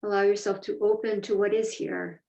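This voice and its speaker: A woman teaching a guided meditation, speaking in a measured, deliberate way with pauses between phrases. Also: medium pitch, little pitch movement, vocal fry, mellow. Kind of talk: guided meditation, slow instruction. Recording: echoey room, distant mic, dull sound.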